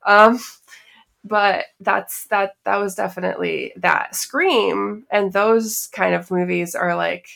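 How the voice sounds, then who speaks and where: A woman's voice: mid-range voice, slow, gravelly, fairly bright tone. A woman co-hosting a podcast in casual, informal chat, with loose phrasing sprinkled with "like". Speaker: podcast co-host.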